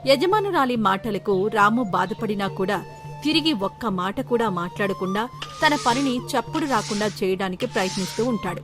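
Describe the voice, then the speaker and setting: A woman's voice: mid-range voice, animated, normal speed, over music. A woman reading scripted story narration as the storyteller.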